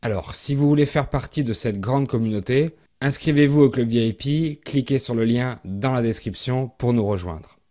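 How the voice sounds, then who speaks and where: A man hosts a podcast for French learners and speaks clearly at a measured pace. His voice sits at a typical middle pitch for a man. He grew up in France. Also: dark tone. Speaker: podcast host.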